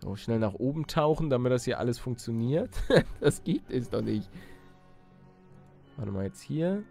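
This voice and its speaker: A man doing excitable let's play commentary, exclamatory and slangy, talking to viewers and himself. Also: mid-range voice, measured pace, gravelly, faint music behind. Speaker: gaming YouTuber and let's player.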